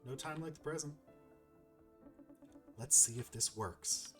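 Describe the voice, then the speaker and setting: A man's voice: fairly high pitch, a little slow. Casual man narrating gameplay, music behind. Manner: thinks aloud, chatty. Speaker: gaming video host. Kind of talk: casual let's play commentary. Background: game music.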